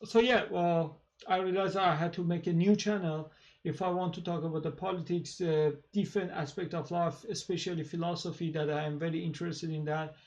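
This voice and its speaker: A man with a thick Persian accent, a native Persian speaker, hosting his own channel and talking in a halting casual monologue with many fillers and restarts. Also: fairly high pitch, fairly even intonation, darkish tone. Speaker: channel host.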